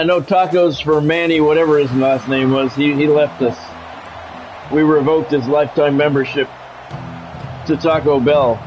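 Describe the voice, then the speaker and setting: A man's voice: fairly high pitch, darkish tone, poor audio. A middle-aged man hosting a livestream, mixing casual chat with announcer-like football play-by-play commentary. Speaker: livestream host, game commentator.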